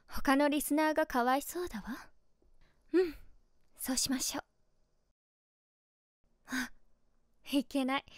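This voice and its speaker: A woman playing a caring young female streamer who is secretly smitten, musing gently in a feminine way in a voice drama. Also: sits high, no room sound, studio quality, natural voice. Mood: worried, doubtful, then eager. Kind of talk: Japanese voice drama monologue.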